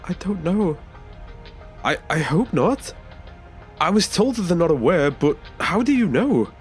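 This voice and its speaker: A man's voice: on the high side, very animated, unhurried, faint music behind. A man playing a nervous, earnest passenger in an audio drama, speaking hesitantly with small stammers. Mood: anxious. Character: nervous ageing male passenger seeking a new body. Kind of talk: naturalistic audio drama acting.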